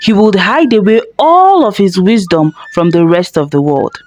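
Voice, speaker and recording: Female narrator for children's folktales: lively, swooping delivery, dramatic phrasing, faint noise behind. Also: medium pitch, moderate pace. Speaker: storyteller.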